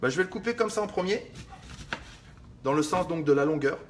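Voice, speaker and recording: Man talking to viewers, slightly high voice, joking and rambling, faint clicks behind. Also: lively intonation, brisk, slight background noise. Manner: joking, rambling, swears casually, talks to viewers. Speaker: fitness livestream host. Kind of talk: casual live cooking demo.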